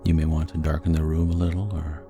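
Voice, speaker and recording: Male sleep hypnosis narrator, very low and gravelly, soothing and measured, over faint music. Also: completely flat delivery. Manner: soothing, gentle, measured delivery. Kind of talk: sleep hypnosis guided meditation, scripted narration.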